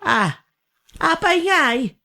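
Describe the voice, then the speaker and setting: A woman's voice: extremely high pitch, sing-song, very slow, vocal fry, pushed and bright, full character voice. A woman playing an older, quarrelsome mother in a radio drama, aggrieved and defensive, blaming everyone around her. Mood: pleading, startled. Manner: querulous, defensive. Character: aggrieved, quarrelsome gambling mother who blames her relatives.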